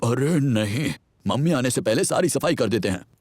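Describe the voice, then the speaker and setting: A man's voice: mid-range voice, animated, moderate pace, lightly acted. A man voicing a boastful, bumbling father pig with a hearty chuckle in a children's cartoon dub. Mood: sheepish.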